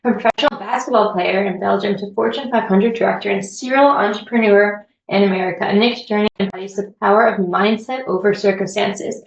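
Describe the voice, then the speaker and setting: A woman's voice: medium pitch, steady tone, a little fast, gravelly, few pauses, reverberant, highs cut off. A woman hosting a podcast, giving polished intros and asking questions in an upbeat way. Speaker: podcast host.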